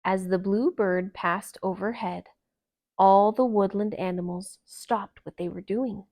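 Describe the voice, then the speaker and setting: A woman reading a children's story aloud in a calm, expressive and gentle narration. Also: mid-range voice, unhurried. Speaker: storybook reader.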